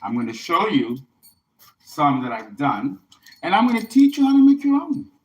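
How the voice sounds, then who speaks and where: A man's voice: high-pitched, wildly swooping pitch, normal speed, a little mellow, breathy edge. A man, an astrologer and tarot reader, chatting casually on a livestream and rambling as he reads viewer comments aloud.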